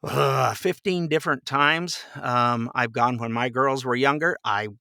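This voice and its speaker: A man's voice: mid-range voice, unhurried, vocal fry, pushed and bright, clear, resonant tone, no echo, clean studio sound. An older man, in his sixties or beyond, chatting casually and telling anecdotes in a folksy, joking way as a podcast guest. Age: 60s or older.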